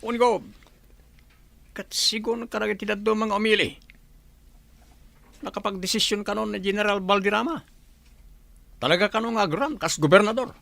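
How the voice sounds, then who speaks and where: Radio drama sidekick: man as villain's obedient aide, high gravelly voice, conspiratorial. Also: moderate pace, long pauses, a bit bright, no room sound. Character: deferential henchman aide to a corrupt male governor. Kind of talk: Ilocano radio drama acting.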